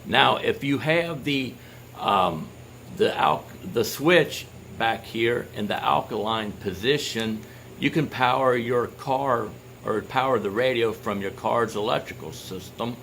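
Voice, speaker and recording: A man giving an informal product demonstration in a halting, explanatory way, often checking in with the listener. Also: mid-range voice, fairly bright tone. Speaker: product reviewer. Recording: clear background noise, home-made video.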